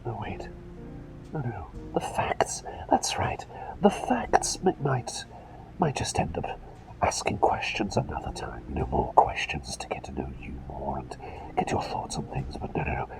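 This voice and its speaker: Whispering, gravelly, courteous male ASMR doctor. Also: very deep voice, huge pitch swings, measured pace, music in the background, rough recording. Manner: courteous, old-fashioned phrasing, dithering and repeating himself. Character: gentle, eccentric, absent-minded male plague doctor, polite and chatty. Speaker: ASMR roleplay performer. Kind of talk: whispered ASMR roleplay, personal attention.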